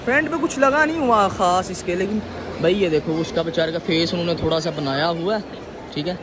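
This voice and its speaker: A man's voice: sits high, brisk, crowd noise, background noise. A young man vlogging to a phone camera in a shopping mall, casual, joking and a little boastful. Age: young adult. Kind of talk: casual vlog chat to camera. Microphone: phone camera at arm's length.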